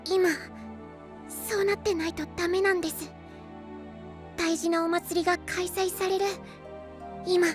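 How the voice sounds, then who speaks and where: A man voicing a young, polite, earnest boy knight in an anime-style voice drama, with soft childlike speech. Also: very high-pitched, little pitch movement, a little slow, pauses often, music in the background. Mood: anxious, earnest.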